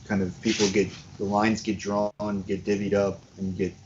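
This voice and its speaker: Male co-host, lowish voice, laid-out in loose slangy chat over muffled lo-fi audio. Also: little pitch movement, moderate pace, darkish tone. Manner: loose, colloquial, filler-heavy phrasing. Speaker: political talk show co-host. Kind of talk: casual chat, commentary. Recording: dull lo-fi audio with noise.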